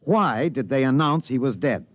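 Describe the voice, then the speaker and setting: A man playing an excited, obsessed radio reporter with an American accent, stammering and emphatic in 1950s radio drama style. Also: mid-range voice, normal speed, no echo, lightly acted. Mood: urgent, insistent. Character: excited radio newsman, agitated, obsessed with breaking a cover-up. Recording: dull vintage radio recording.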